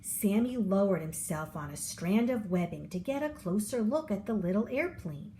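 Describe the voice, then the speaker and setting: A woman reading a children's picture book aloud, with an expressive storyteller delivery. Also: medium pitch, normal speed, slight background noise.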